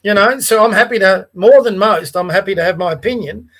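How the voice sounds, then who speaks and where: A man's voice: high-pitched, fairly quick, a bit bright. A man with an Australian accent hosting a casual political podcast, talking earnestly with frequent restarts and asides. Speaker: podcast host.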